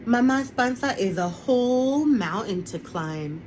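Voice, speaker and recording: A woman's voice: fairly high pitch, lively intonation, measured pace, a little mellow, a little hiss or hum. A woman who is an author, reading her children's picture book aloud on an online webinar recording. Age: adult, parent of a young son.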